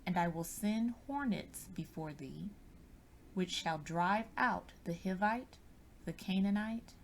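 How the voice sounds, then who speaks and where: Slow, gravelly woman reading scripture. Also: on the low side, lively intonation, a little hiss or hum. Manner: measured, deliberate phrasing. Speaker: scripture reader.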